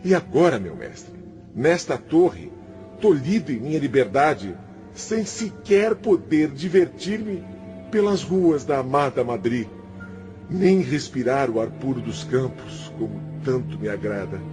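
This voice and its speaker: A man's voice: mid-range voice, lively intonation, measured pace, darkish tone, close to an everyday voice. A man acting in a radio drama as a self-pitying, defiant male spirit, theatrical and complaining as he justifies himself. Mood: aggrieved pleading. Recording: music under the voice.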